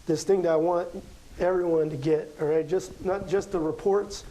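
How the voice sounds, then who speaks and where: Mellow, high-voiced American man explaining. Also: fairly even intonation, moderate pace, rough recording. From Las Vegas, United States. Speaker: guest astrology card reader. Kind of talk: casual interview chat.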